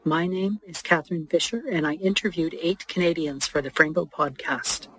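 A woman's voice: lowish voice, fairly even intonation, moderate pace, slightly rough, rough recording. A woman hosting an interview podcast, reading introductions and putting questions to a guest.

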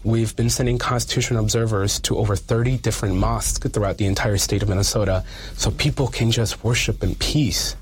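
Husky-voiced man, emphatic activist. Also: on the low side. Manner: emphatic, repeats phrases for weight. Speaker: community activist giving an interview. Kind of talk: earnest advocacy interview.

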